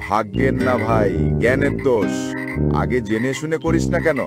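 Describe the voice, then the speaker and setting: A man's voice: medium pitch, expressive, moderate pace, natural voice. A man voicing a sensible, helpful farmer friend in a cartoon, chiding in a brotherly village way. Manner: brotherly, admonishing village talk. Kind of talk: animated moral story dialogue. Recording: loud music under the voice.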